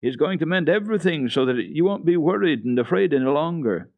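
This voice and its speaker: A man's voice: a little high, animated, quick pace, gravelly, pitched above the performer's own voice. A man voicing a small, cheerful girl who speaks soothingly and earnestly in a storybook reading. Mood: comforting. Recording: dull archival radio tape.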